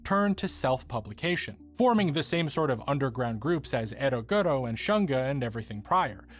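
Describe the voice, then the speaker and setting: A man's voice: mid-range voice, very animated, moderate pace. Articulate man narrating a scripted video essay. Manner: articulate, formal wording.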